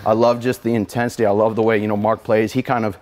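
Brief: young male tennis player, twenties, quick and low-pitched, restless restarts, indoor court interview. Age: 20s. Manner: rambling, animated, full of restarts. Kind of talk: post-match interview answers.

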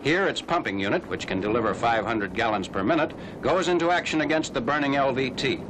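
A man narrating a scripted 1940s military newsreel in a formal, measured announcer's delivery. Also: mid-range voice, animated. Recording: noisy old 1940s film soundtrack.

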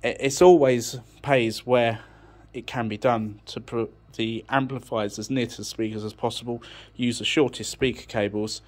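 A man's voice: medium pitch, fairly even intonation, normal speed, hardly any pauses. A man giving an informal technical explanation in a matter-of-fact, unscripted way, thinking as he goes. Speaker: audio technician presenting a tech channel. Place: indoors, beside an amplifier rack.

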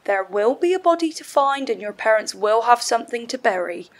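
A woman's voice: fairly high pitch, expressive, fairly quick, close to an everyday voice. A woman playing a lonely mermaid in an audio play, wry and coaxing, at times slipping into eerie whispers.

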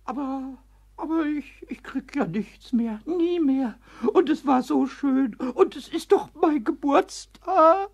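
An actor voicing a mischievous little male kobold in a children's radio play, squeaky and whiny, stammering and wheedling. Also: takes it slowly, gravelly, heavily acted. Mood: tearful. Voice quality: squeaky, high, cartoonish. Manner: wheedling, stammering, dramatic wailing.

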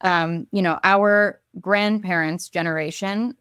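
Young woman giving an earnest, halting answer. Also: fairly low pitch, steady tone, a bit bright, clear, resonant tone, no room sound, studio quality. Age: young adult. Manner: earnest, with frequent ums and restarts. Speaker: guest, advocate and essayist. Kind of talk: thoughtful interview answer. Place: home room. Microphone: video call.

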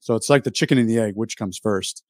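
A man's voice: lowish voice, quick pace, darkish tone, no echo, studio quality. A man in his thirties explaining things casually as a guest on a fitness interview. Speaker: fitness YouTuber, podcast guest. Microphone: microphone on a video call.

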